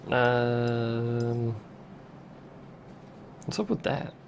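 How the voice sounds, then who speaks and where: A man's voice: mid-range voice, level tone, drawn-out words, creaky, a little hiss or hum. A young man casually commenting while playing a game on a livestream, answering chat with wry asides. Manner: chatty, reads chat questions aloud, wry asides. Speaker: let's play streamer.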